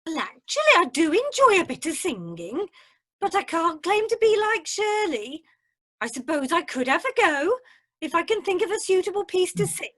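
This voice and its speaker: Woman playing shy Welsh amateur singer, high, lively pitch, hesitant, polite, radio comedy. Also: normal speed, heavily acted. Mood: modest, hesitant.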